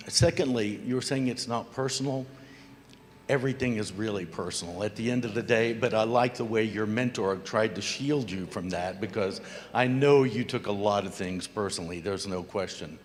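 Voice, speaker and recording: Man, middle-aged, lowish pitch, earnest and reflective, speaking in a long-echo hall. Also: normal speed. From Democratic Republic of the Congo. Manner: earnest, reflective, quotes proverbs. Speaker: audience member asking from the floor. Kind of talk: public remarks at a panel event. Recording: reverberant hall.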